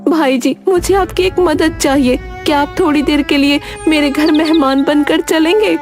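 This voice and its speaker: Actress as nagging village wife in a cartoon, really high voice, fed up but practical, faint music. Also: female voice, steady tone, moderate pace, close to an everyday voice. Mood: pleading. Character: exasperated, practical village wife coaxing her husband. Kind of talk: Hindi cartoon dialogue.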